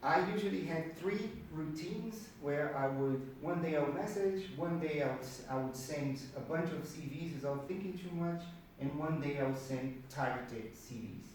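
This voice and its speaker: A man's voice: fairly high pitch, normal speed, hardly any pauses, darkish tone. A man from Brazil with a Brazilian accent and Portuguese as his native language, giving an informal, conversational presentation at a meetup, repeating words for emphasis. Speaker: speaker at a career networking meetup. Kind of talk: informal presentation to a live group. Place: indoor meetup room with an audience. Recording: very echoey room, distant mic, faint noise.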